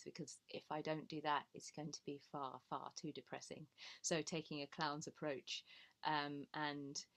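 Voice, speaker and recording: Woman on an online panel, lowish voice, chatty but thoughtful, bit hesitant, earnest. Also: clear, resonant tone. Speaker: panelist, clown and laughter yoga facilitator. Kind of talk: informal self-introduction at a panel discussion. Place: online video call.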